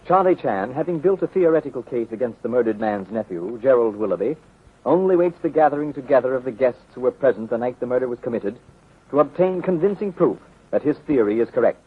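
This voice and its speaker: A man's voice: medium pitch, expressive, fast talker, slightly rough. A man narrating as a 1930s radio announcer, reading a script in a dramatic, grandiose way. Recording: muffled, band-limited 1930s radio recording.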